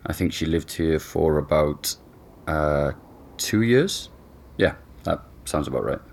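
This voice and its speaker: Young guy getting interviewed at home, deep voice, casual, kinda hesitant, natural audio drama. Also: animated, slow, creaky, full character voice. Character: easygoing young boyfriend reluctantly being interviewed at home.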